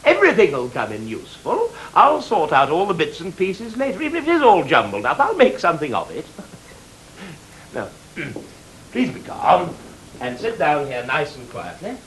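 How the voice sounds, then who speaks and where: An actor playing a bossy, impatient theatre director in a stage drama, emphatic and condescending. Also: male voice, fairly high pitch, wildly swooping pitch, normal speed, a bit bright, rough recording. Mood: brisk, soothing. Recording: faint tape hiss.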